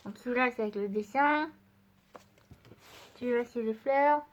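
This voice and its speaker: A woman with a high voice, stuffy and congested from a cold, talks in a casual, chatty way straight to her viewers. She rambles a bit with frequent fillers while handling craft supplies, and a faint hiss sits under the recording. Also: normal speed, dull audio. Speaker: art channel host. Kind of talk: casual craft haul and paper test.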